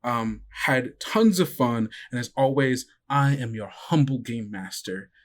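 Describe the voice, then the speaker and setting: Man, game master, casual podcast sign-off, rambling with ums, mid-pitched voice. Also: expressive, measured pace. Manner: chatty, loose, full of fillers and laughs.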